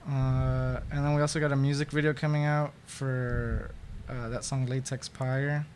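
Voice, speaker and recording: A man's voice: medium pitch, flat delivery, moderate pace, a little mellow, slight background noise. A young man in a band talking casually about upcoming shows, rambling and stopping to search for words. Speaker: band member. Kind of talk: casual chat.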